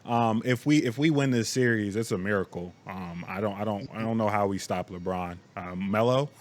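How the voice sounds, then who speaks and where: Halting man chatting on a sports podcast. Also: on the low side. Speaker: podcast co-host. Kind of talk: casual sports chat.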